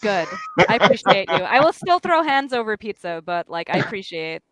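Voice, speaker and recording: A woman's voice: medium pitch, very animated, normal speed, a loud crowd. A woman chatting casually as a panel guest, slangy and playfully emphatic. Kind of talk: casual chat.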